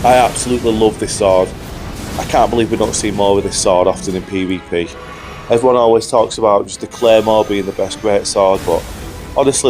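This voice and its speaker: A young man with a cold-roughened voice, giving upbeat, chatty commentary over gameplay footage as a video host. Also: lowish voice. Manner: upbeat, chatty, says "guys" often. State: hoarse from a cold. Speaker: gaming YouTuber.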